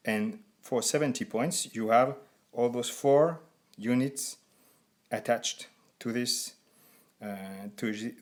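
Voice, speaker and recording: A man in his forties with a French accent, haltingly explaining a board game in non-native English as a reviewer. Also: mid-range voice. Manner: halting, deliberately slow speech for learners.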